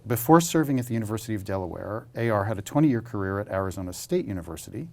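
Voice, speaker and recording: A man's voice: lowish voice, darkish tone. A man hosting an interview program, reading guest introductions and asking questions. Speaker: interview host, humanities center director.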